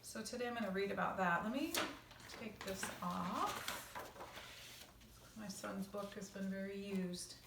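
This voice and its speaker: A woman teacher reading a picture book aloud to children, with casual asides and expressive delivery. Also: medium pitch, background noise. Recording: roomy echo, distant microphone.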